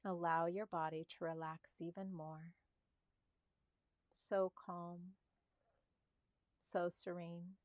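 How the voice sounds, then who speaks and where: A woman guiding a meditation in a soft, slightly breathy voice, speaking calmly and soothingly in short, spaced phrases. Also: lowish voice, takes it slowly, long pauses, dry recording. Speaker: meditation guide. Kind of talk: guided meditation narration.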